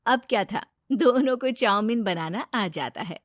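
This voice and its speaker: A woman narrating a moral cartoon story in a steady, matter-of-fact way. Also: on the high side, measured pace, no echo, clean studio sound.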